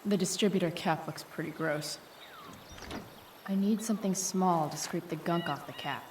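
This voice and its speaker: A woman's voice: fairly low pitch, moderate pace, far from the mic, background noise, natural voice. An actress playing a rebellious, foul-mouthed teenage girl in a video game drama, blunt and sardonic. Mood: wry, focused. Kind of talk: naturalistic video game drama acting, muttering to herself.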